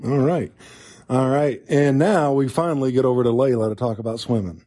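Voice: mid-range voice, a little fast, dark tone. A chatty, encouraging podcast host doing a casual interview.

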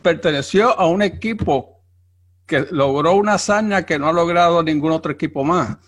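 A man's voice: on the high side, normal speed. A man hosting a podcast, giving a formal, announcer-like introduction and asking interview questions. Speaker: podcast host.

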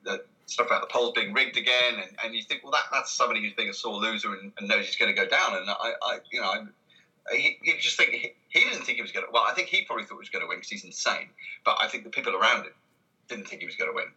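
Man, very bright voice, hesitant rambling talk. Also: fairly low pitch, expressive, fast talker, rough recording. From Britain. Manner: hesitant, rambling, self-interrupting delivery. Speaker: interview guest, comedy writer. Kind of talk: casual conversational interview.

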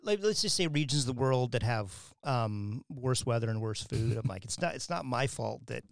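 Man, host, from Wyoming, gravelly voice, rambling, restarts, dry close mic. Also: medium pitch, expressive, normal speed, dry recording. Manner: rambling, many restarts and fillers, laughs often. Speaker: podcast host. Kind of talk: casual academic interview.

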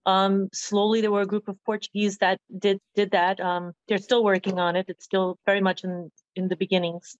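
A woman's voice: medium pitch, flat delivery, moderate pace, few pauses, dry recording. Woman from the us introducing herself, dead dry muffled mic, kinda hesitant. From United States. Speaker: panelist. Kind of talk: self-introduction.

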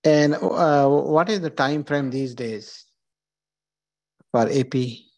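A man's voice: mid-range voice, takes it slowly, darkish tone. A man calling in with questions, polite and deferential. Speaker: caller. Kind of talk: asking questions on a call.

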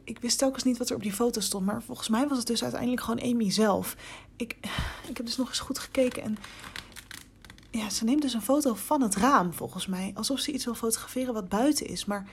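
An actress playing an anxious, inquisitive young reporter in a hesitant, confiding voicemail monologue in an audio drama. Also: female voice, fairly high pitch, brisk, husky. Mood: uneasy, confiding.

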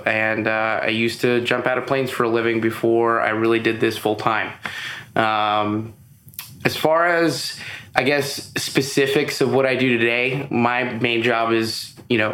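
A man of middle pitch speaking as a podcast guest, explaining things in a loose, rambling way with plenty of "um"s and "you know"s. His voice carries a noticeable roomy echo from being recorded in the same space as the host. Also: level tone, a little slow, sharp tone, slight background noise. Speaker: interview guest, business owner. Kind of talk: casual interview chat. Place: room with audible echo, recorded in person.

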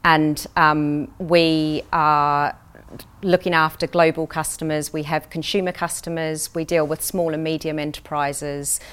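A woman's voice: low-pitched, level tone, measured pace, fairly bright tone, clean tone. A middle-aged woman with a New Zealand accent, giving earnest, enthusiastic and professional answers as a guest in an interview. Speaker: interview guest, corporate executive.

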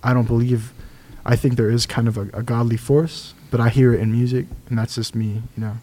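A man with a mellow, warm voice shares his thoughts hesitantly in a group chat. He speaks a little away from the mic. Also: mid-range voice, flat delivery, a little fast. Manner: hesitant, slangy.

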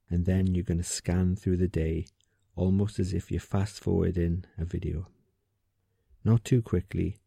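A man with a low, very dark and relaxed voice leads a sleep meditation. His tone is soft and husky with a creaky edge. He speaks gently and without hurry, leaving room between phrases. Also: no echo, clean studio sound. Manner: soothing, gentle, unhurried, with pauses. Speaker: meditation guide. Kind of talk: guided sleep meditation, calm instruction.

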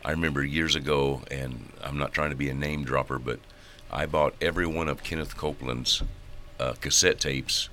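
An older male pastor with a very low, bright, forceful voice, talking in a loose, joking way with plenty of restarts. A faint ambient hum sits behind him. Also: moderate pace, hardly any pauses. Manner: relaxed, joking, rambling with restarts. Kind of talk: casual podcast chat.

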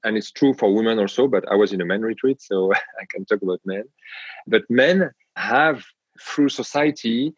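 A man with a French accent, a guest on an interview podcast, speaking in a measured way with frequent pauses and hesitations. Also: mid-range voice, husky, no echo, muffled recording. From France. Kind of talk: reflective interview talk.

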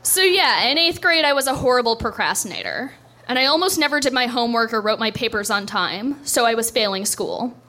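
A woman's voice: a little high, expressive, brisk, bright, forceful tone. A young woman doing a stand-up set, telling a story in a dry, self-deprecating way on a club stage. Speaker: stand-up comedian.

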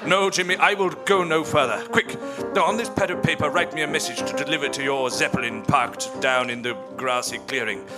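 A man's voice: on the high side, fairly even intonation, fairly quick, few pauses, lightly acted. Indignant, squeamish adventurer played by a man, music behind. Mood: reluctant. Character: squeamish, indignant adventurer complaining to his companion. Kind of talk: improvised comedy roleplay.

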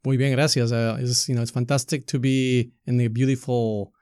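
A man's voice: mid-range voice, little pitch movement, normal speed, a little mellow, clean tone, no echo, clean studio sound. A middle-aged man with an Ecuadorian Spanish accent, explaining things conversationally as an interview guest and often checking points with a tag question. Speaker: podcast guest, community activist and soccer coach.

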